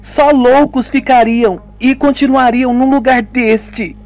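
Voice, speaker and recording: A man voicing a frightened young woman who insists on leaving, in a strained falsetto, for a homemade radio drama. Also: steady tone, slow, full character voice. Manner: high strained falsetto, pleading.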